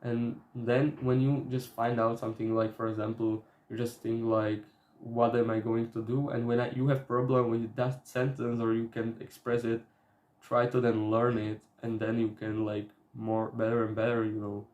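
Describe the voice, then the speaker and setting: A young Slovak man vlogs in accented English, hesitant and searching for words. His delivery stays flat and level. The sound is roomy and echoing. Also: mid-range voice. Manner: halting, many fillers and restarts. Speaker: vlogger. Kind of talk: casual talk to camera. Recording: echoey room.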